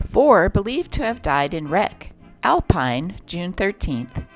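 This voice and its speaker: Woman narrator with lively, swooping pitch. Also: takes it slowly, soft background music. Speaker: channel host and narrator. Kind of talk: scripted narration, reading vintage newspaper articles aloud.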